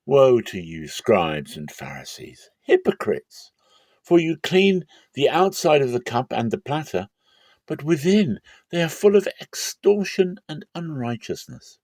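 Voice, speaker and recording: Guy doing a scathing preacher-prophet in a bible drama, emphatic, voice jumping up high, dry close sound. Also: big pitch swings, measured pace, darkish tone, dry recording. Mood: scathing indignation. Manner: emphatic, declamatory, swooping delivery. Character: stern prophet-teacher denouncing hypocrites, indignant and scathing.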